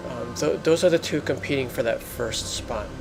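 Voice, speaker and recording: A man giving halting, explanatory let's play commentary as host of a gaming video. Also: mid-range voice, gravelly, music in the background.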